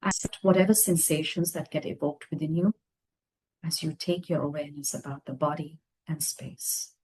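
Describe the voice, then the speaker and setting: Female meditation guide, low-pitched and breathy-husky, gentle delivery with spacious pauses. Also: steady tone, low-quality recording. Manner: gentle, unhurried phrases with long pauses. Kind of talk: guided meditation, slow soothing instruction.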